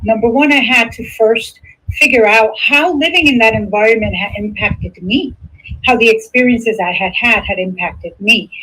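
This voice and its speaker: A woman's voice: a little high, fairly bright tone, slightly rough, poor audio. A middle-aged woman from the Dominican Republic, a psychotherapist, answering interview questions in an earnest, reflective way. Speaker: guest, psychotherapist.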